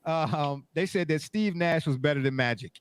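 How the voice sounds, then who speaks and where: A man's voice: a little high, normal speed, very noisy. A man hosting a casual sports debate show, reading out listener takes and pleading to get through them. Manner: pleading to finish, repeats himself.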